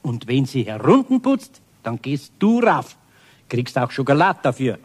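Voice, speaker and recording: A man's voice: medium pitch, big pitch swings, unhurried. A man playing a good-natured, patient older carpenter with a Bavarian accent in a 1970s radio play, homely and coaxing. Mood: pleading, patient.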